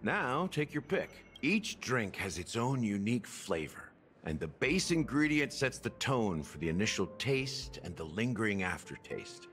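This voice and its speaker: A laid-back middle-aged bartender from a video game, with a low voice and expressive swings. He is suave and wistful, talking casually with a touch of theatre over music. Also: a little slow. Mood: easygoing. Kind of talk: English game voice acting. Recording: clean game dialogue over background music.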